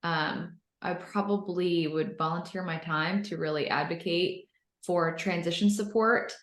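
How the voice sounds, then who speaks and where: A woman's voice: lowish voice, fairly even intonation, a little slow. An American woman in her thirties, upbeat and enthusiastic, answering interview questions conversationally, with a roomy, echoey sound. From North Carolina, United States. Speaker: interview guest, business founder.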